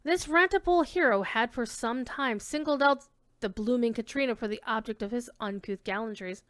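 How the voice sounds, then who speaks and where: Lady doing a dramatic story reading, smooth clear voice, dry close sound, leans into key words. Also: fairly high pitch, dry recording. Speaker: reader giving a dramatic reading of a classic tale. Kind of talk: dramatic literary narration, reading aloud.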